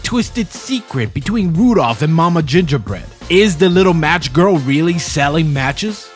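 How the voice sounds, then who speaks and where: A man hosting a podcast, giving an enthusiastic introduction to his show. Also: a little high. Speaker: podcast host and producer.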